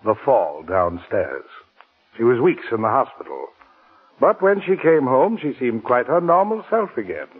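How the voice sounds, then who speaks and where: Sombre narrator: husky, measured delivery over faint music, 1940s radio play. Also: male voice, medium pitch, sing-song. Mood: solemn. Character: sombre male storyteller recounting a family tragedy. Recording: muffled old radio, music underneath.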